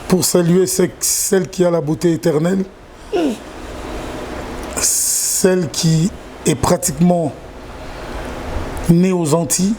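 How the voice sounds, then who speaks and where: Halting Congolese man with a mellow voice. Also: sits high, fairly even intonation, slight background noise. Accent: Congolese French. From Democratic Republic of the Congo. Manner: halting, repeats words, slow measured delivery. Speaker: guest. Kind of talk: casual interview chat.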